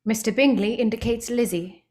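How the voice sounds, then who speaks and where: A woman's voice: fairly high pitch, moderate pace, a little mellow. A woman reading stage directions as scripted dramatic narration.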